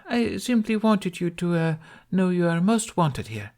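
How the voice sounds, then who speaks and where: A man voicing a poised, cordial foreign empress in an audiobook, with halting, formal non-native phrasing. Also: high voice, big pitch swings, darkish tone, voice pushed up, exaggerated voice. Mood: gracious, reassuring.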